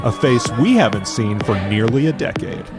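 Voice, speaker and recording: An actor playing a wry, dramatic reality-show narrator, reading scripted narration in an audio drama. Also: male voice, medium pitch, big pitch swings, normal speed, loud music behind the voice, over a noisy crowd, natural voice.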